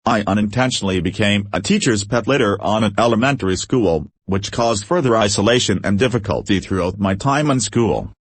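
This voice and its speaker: A man reading an online post aloud in steady scripted narration. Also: lowish voice, brisk, hardly any pauses, slightly rough, dry recording. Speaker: story reader.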